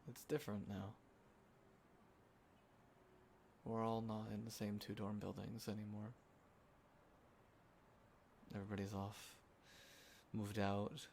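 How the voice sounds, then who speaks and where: A young man with a fairly low voice acted by a male performer rambles casually to a close friend he has missed. He is sentimental and soft-hearted, pausing and circling as he talks in an intimate audio roleplay. City ambience is audible behind him. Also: little pitch movement. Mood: wistful. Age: young adult. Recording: clear background ambience noise. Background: quiet city ambience.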